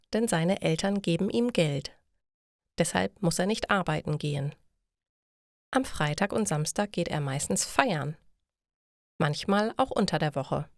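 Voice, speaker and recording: A woman reading a scripted short text for language learners in a clear, measured manner. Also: lowish voice, dry recording, studio quality. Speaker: narrator of German learning stories.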